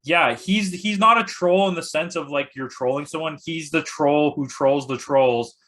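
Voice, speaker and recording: A man's voice: on the high side, normal speed, fairly bright tone. A man chatting casually as a podcast guest and movie critic, blunt, with dry joking. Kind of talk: casual chat.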